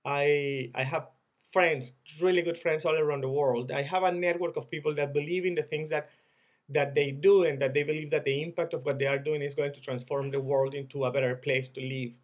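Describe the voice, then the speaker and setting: Venezuelan man, 30s, fairly high voice; reflective video message, earnest, restarts often. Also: steady tone, a little fast. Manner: earnest, reflective, with frequent repeated words and restarts. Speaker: former student-organization leader sharing experience.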